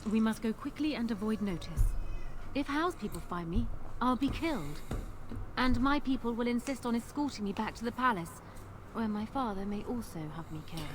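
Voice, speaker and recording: A woman's voice: a little high, lively intonation, fairly quick, lightly acted. A woman playing an imperious, determined young queen in a fantasy video game, speaking formally and with command. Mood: urgent, guarded. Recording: game audio under clear noise.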